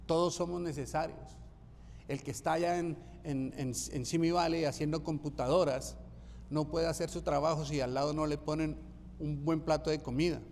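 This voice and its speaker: Pastor preaching in a hall. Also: male voice, on the high side, steady tone, normal speed, darkish tone, a little hiss or hum. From Colombia. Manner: emphatic preaching, repeating key phrases for rhythm. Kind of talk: sermon. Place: church sanctuary.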